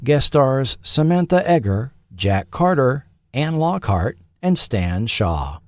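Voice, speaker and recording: A man hosting and narrating a scripted documentary podcast, with a measured delivery and dramatic pauses. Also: medium pitch, sing-song, dry recording, clean studio sound.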